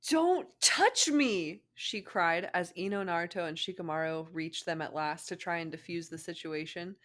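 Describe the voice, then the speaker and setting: A woman reading fan fiction aloud in expressive narration, breaking off for casual comments. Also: on the low side, moderate pace.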